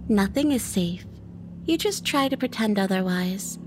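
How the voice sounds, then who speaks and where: A woman's voice: mid-range voice, animated, a little slow, slight background noise, lightly acted. A woman playing an eerie little girl spirit in a horror audio drama, speaking in a cryptic, ominous way. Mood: eerie calm.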